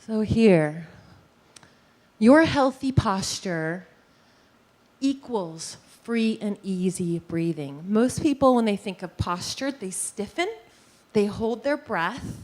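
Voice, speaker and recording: A woman's voice: medium pitch, slow. Upbeat woman teacher talking posture n breathing to a room of people, walks them thru little movements. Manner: lively, demonstrative, guides the audience through movements. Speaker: posture and breathing teacher giving a workplace talk. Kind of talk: presentation to a live audience. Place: meeting room with an audience.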